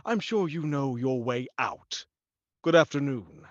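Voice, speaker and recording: An actor playing a curt, dismissive gentleman in 1940s radio horror style, speaking with stiff formality. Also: medium pitch, lively intonation, a little slow, no echo, muffled recording, lightly acted. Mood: cool dismissal.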